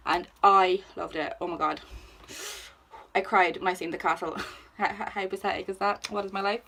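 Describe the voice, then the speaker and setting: A woman's voice: fairly low pitch, a bit bright, rough recording. A woman aged twenty-one with an Irish accent, chatting casually and informally as she answers questions for a vlog. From Ireland. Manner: chatty, informal, self-deprecating, exclamatory. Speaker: vlogger. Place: indoors at home, sitting on the floor.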